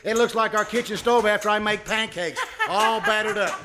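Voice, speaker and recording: Man acting a high-voiced, blustering folksy braggart. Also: steady tone, normal speed, noisy background. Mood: blustering, boastful. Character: blustering, boastful, folksy husband and tall-tale teller. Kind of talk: old-time radio comedy acting. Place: live stage performance at a winery.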